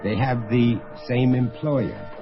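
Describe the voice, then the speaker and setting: A man narrating an old radio drama in a measured, storytelling way. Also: medium pitch, expressive, vocal fry, lo-fi sound. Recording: old radio recording with music.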